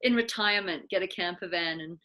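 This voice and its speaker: A woman's voice: on the low side, brisk, sharp tone, low-quality recording. Chatty Australian-born woman host. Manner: chatty, friendly, laughs easily. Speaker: interview host. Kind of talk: casual interview chat.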